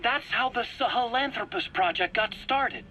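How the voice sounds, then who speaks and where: A man's voice: very high voice, animated, normal speed, sharp tone, a little hiss or hum, heavily acted, rough recording. An actor playing an anxious, self-pitying male scientist in a video game cutscene, pleading and stammering indignantly in his own defense. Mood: proud explaining. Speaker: accused scientist under interrogation.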